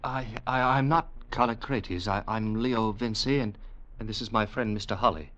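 An actor playing a wary, excitable adventurer in a 1940s radio drama. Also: male voice, fairly low pitch, moderate pace, natural voice. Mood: excited, unsettled.

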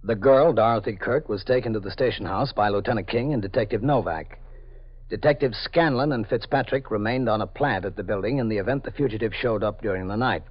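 An actor playing a police captain who narrates events as a clipped, factual report, in a 1950s radio drama. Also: male voice, lowish voice, brisk, lightly acted. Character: steady, matter-of-fact male police captain. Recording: dull old radio broadcast recording.

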